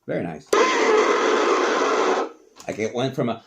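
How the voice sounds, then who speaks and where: A man, speaking in a lively, swooping voice. He talks casually and curses freely, with plenty of self-mockery. The tone stays loose and unscripted. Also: medium pitch, unhurried, gravelly. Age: adult. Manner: animated, profane, self-mocking. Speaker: host. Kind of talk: casual commentary reacting to old tapes.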